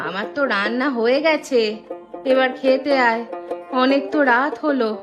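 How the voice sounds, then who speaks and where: A high, homely cartoon mother from a village tale acted by a woman. She chides and looks after her household in a fussing, caring manner. Music plays clearly behind her. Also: normal speed, lo-fi sound, lightly acted. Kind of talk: cartoon dialogue acting.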